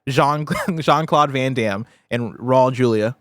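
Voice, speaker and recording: A man hosting a casual panel discussion, talking in an animated, opinionated way. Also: medium pitch, slow, no room sound, clean studio sound. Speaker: podcast host.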